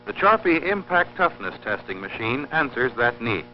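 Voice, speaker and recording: An old, muffled film soundtrack with a faint mains hum carries a man's voice. He narrates industrial instruction from a script in a formal, precise way. His pitch sits in the middle range, and his delivery stays measured and didactic. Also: sing-song.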